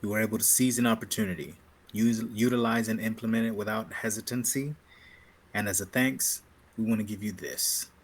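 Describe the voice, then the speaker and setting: A man's voice: fairly low pitch, steady tone, a little fast, lightly acted. A man playing a composed, approving rebel military officer in a sci-fi table read, speaking formally and earnestly.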